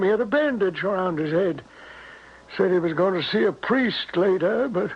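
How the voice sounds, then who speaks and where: An actor playing a folksy, hesitant small-town innkeeper, uneasy and defensive, in a 1970s radio drama. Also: male voice, sits high, breathy edge, heavily acted. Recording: dull, muffled 1970s radio recording.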